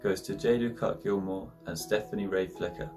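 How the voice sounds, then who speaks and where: A man's voice: low voice, fairly even intonation, moderate pace. A young man reading a scripted award presentation in a formal way as student president. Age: young adult. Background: prominent plucked guitar music.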